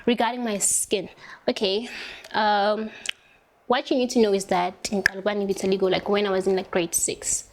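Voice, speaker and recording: A young South African woman talks in a loose, rambling way, with lots of fillers and stretched words. She chats as she applies her makeup, her voice sitting at an ordinary middle pitch. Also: flat delivery, normal speed, background noise. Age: young adult. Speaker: interviewee doing makeup. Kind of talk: casual chat while applying makeup.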